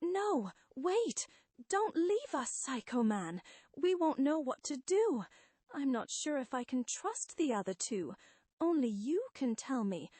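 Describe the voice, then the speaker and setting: A woman's voice: medium pitch, sing-song, takes it slowly, breathy edge, dry recording, heavily acted. A woman voicing a fearful, servile henchman in an urgent, anxious manner. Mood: pleading. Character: boastful henchman turned fearful, servile follower.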